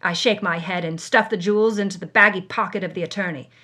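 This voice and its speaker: A woman's voice: fairly low pitch, quick pace, bright, forceful tone. An actress playing a hard-bitten, world-weary woman reading her journal with wry, dramatic emphasis, as first-person audio drama narration. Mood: defiant, rattled.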